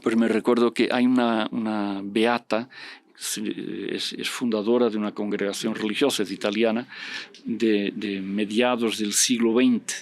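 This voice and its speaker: A man whose first language is Portuguese, speaking as a podcast guest in a relaxed, reflective way, pausing often with fillers and restarting his sentences. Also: lowish voice, no room sound. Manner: hesitant, full of fillers and restarts; reflective, earnest. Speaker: podcast guest discussing religious prophecy. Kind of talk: casual conversational explanation.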